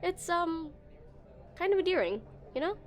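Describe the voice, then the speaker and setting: A high-pitched female voice , acted by a woman, plays an awkward game developer smitten with their date. The delivery is nervous and hesitant, full of stammers, in an intimate romantic audio roleplay addressed to the listener. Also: very animated, moderate pace. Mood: nervous, flustered.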